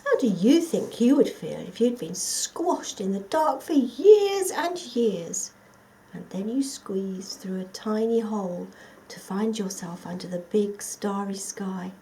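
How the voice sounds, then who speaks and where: A woman reading a children's story aloud as a scripted narration, in an expressive, dramatic storytelling manner. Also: mid-range voice, takes it slowly, slight background noise, low-quality recording. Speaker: storybook reader.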